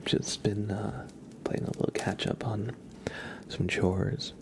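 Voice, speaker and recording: A man hosting an ASMR livestream, speaking softly in a murmur that often slips into whispers. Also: lowish voice, level tone, takes it slowly, gravelly. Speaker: livestream host. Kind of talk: soft-spoken ASMR livestream.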